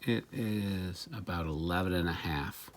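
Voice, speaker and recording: A man's voice: low voice, unhurried. A man talking casually through a hands-on gadget demonstration, musing and thinking aloud. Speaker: vlogger demonstrating and repairing vintage electronics.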